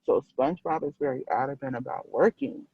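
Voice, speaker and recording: A man's voice: mid-range voice, fairly quick, breathy edge, dry recording, phone-quality sound. A man hosting a casual story time, reading aloud and putting questions to his audience from inside a car.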